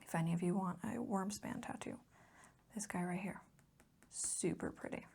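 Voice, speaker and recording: A soft-spoken woman with a dark, relaxed tone and plenty of creaky fry. She drifts between a low murmur and a husky whisper in an intimate ASMR style, chatting in a gushing, rambling, affectionate way. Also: normal speed. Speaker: host of a hobby ASMR channel. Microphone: close mic, recorded as voice-over.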